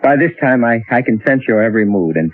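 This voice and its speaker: A man's voice: mid-range voice, lively intonation, quick pace, no echo, natural voice. An actor playing an earnest, inquisitive male writer in an old-time radio drama, with a dramatic, projected delivery. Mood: concerned, curious. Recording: old radio recording, thin narrow band.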